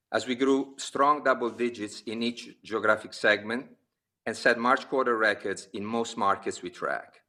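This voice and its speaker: A man, a company executive, reading prepared remarks on an earnings call, giving figures in a measured, steady delivery with brief pauses between list items. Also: fairly low pitch. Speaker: company executive presenting quarterly results. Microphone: conference call line.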